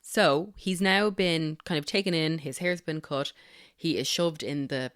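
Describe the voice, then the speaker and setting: A woman's voice: low voice, fairly bright tone, dry recording, clean studio sound. A woman co-hosting a podcast, recapping a movie with enthusiastic, gushing chat. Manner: enthusiastic, gushing, quick to laugh. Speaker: podcast co-host.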